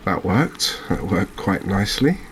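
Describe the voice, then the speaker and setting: A man giving soft-spoken, calm and gentle ASMR commentary over a video game at an unhurried manner. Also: low-pitched, a little hiss or hum.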